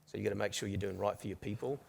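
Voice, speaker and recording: Gravelly-voiced New Zealand man. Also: fairly low pitch, steady tone, racing, darkish tone. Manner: candid, storytelling, with restarts. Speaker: panelist. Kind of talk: panel discussion. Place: conference stage.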